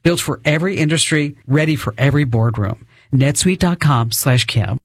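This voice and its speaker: A man's voice: a little high, moderate pace. A man hosting a radio call-in show, speaking in a conversational presenter's style. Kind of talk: conversational hosting and scripted ad reads.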